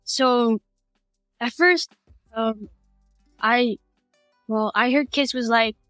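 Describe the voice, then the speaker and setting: A teenage woman from American Samoa, a high school student telling her story in a casual, rambling way with many restarts. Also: very slow, fairly bright tone, dry recording, faint music behind. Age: teens. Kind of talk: casual interview chat.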